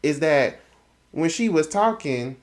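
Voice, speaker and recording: Southern man, host of a book chat, relaxed and conversational. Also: fairly high pitch, slow. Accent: Southern American. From the American South. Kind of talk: casual book discussion.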